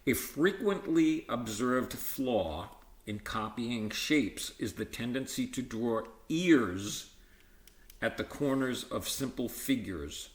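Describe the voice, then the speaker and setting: A man reading an educational textbook aloud in a measured, explanatory way, sometimes stumbling and correcting himself with informal asides. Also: medium pitch, animated. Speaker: volunteer-style book reader. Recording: roomy, echoey sound.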